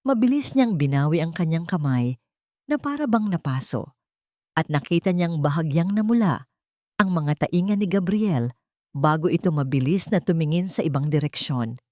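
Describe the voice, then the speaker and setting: Female narrator, Filipino accent, lowish pitch. Measured, expressive dramatic storytelling, recorded dry. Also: dry recording. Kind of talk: scripted dramatic romance narration for an audio drama.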